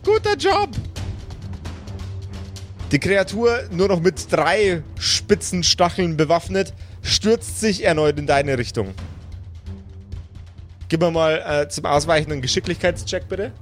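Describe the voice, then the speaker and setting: A man working as game master, narrating an improvised tabletop adventure in deliberate, descriptive phrases. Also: on the high side. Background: music under the voice.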